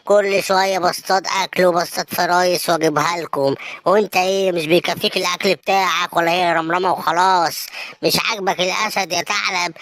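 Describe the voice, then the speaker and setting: A woman's voice: on the low side, a bit bright, no room sound. A woman with an Egyptian accent voicing the lion king of the forest in a children's story, firm and lecturing. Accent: Egyptian Arabic. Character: wise lion king of the forest, stern but fair.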